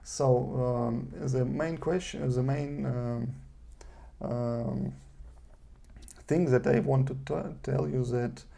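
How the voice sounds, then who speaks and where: Man, Russian accent, middle-aged, dark mellow tone, halting with fillers, unscripted monologue. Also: mid-range voice, vocal fry. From Ukraine. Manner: halting, many fillers and restarts. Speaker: video blogger.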